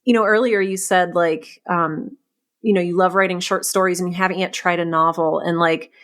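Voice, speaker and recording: Lower-pitched woman hosting a casual interview. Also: steady tone, normal speed, a bit bright. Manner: effusive, informal, earnest. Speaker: podcast host, interviewer.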